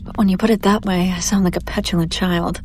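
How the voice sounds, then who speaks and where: A woman playing a haughty, wealthy demon buyer in a scripted audio roleplay, condescending and teasing with drawn-out theatrical delivery. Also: fairly low pitch, fairly even intonation, darkish tone, soft background music, natural voice. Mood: intrigued, wry.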